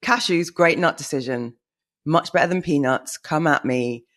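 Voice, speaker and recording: Husky-voiced woman, chatty co-host. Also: low-pitched, a bit bright. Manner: chatty and wry, quick to joke. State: husky from a late night. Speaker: podcast co-host. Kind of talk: casual chat.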